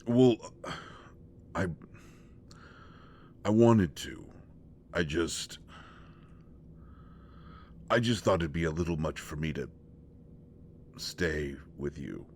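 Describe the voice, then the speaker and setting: A man's voice: low voice, slow pace, pauses often, darkish tone. A man playing a caring, bashful boyfriend in an audio roleplay, earnest and stammering as he talks hesitantly to his partner. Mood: flustered, tender. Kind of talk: audio roleplay acting, intimate one-sided dialogue. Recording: faint background noise.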